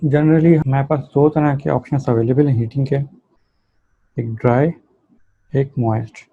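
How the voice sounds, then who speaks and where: A man's voice: medium pitch, level tone, measured pace, no room sound, narrow, tinny audio. A man lecturing in an explanatory, didactic way, mixing technical terms with plain explanation. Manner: didactic, reads terms out then explains them. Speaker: physiotherapy lecturer.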